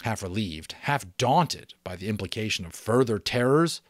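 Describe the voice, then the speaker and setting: A man reading an audiobook as a dramatic, expressive storyteller. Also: lowish voice, normal speed, dry recording, studio quality. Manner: expressive, theatrical. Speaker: audiobook reader.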